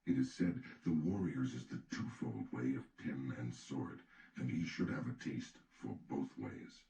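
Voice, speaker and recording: A man's voice: extremely low pitch, expressive, a little slow, vocal fry, warm tone. A man narrating an audiobook, giving a scripted reading of a classical treatise. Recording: roomy sound with background noise.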